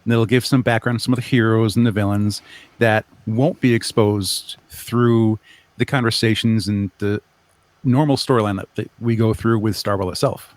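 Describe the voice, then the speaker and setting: Low-voiced man explaining his creative project. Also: steady tone, moderate pace, no echo. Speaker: guest, audio drama creator. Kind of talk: casual interview chat.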